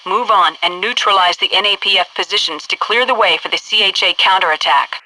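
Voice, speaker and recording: An actress playing a composed, commanding headquarters officer who gives crisp, businesslike mission orders over military radio in a video game. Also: female voice, medium pitch, a little fast, sharp tone, dry recording, low-quality recording. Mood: approving.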